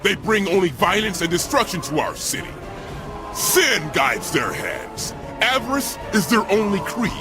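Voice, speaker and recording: An actor playing a proud, stern medieval guard captain with a solemn, commanding delivery in a video game cutscene. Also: male voice, high voice, sing-song, unhurried, over music. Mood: rousing, grave. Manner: commanding, solemn, declamatory oratory. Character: proud stern Varangian guard captain defending his city.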